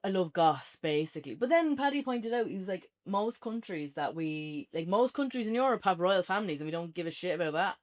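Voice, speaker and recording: A woman's voice: fairly low pitch, expressive, brisk, hardly any pauses. An Irish woman chatting casually as a podcast co-host, trading banter in an unscripted review. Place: indoors on a couch, podcast setup.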